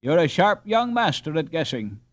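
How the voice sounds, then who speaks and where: A man voicing a gruff, folksy Dutch boat skipper who talks in halting, rustic phrases in a storybook reading. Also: a little high, very animated, no room sound. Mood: bashful, sly. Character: gruff pipe-smoking Dutch boat skipper, a father, unhurried.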